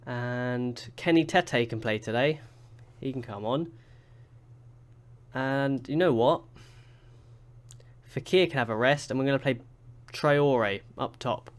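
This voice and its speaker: Guy, blocked-up nose from a cold, rambly casual game commentary, mid-pitched voice. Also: slow pace, long pauses. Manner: chatty, rambling with fillers and restarts. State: stuffy from a cold. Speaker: gaming YouTuber. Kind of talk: casual let's-play commentary.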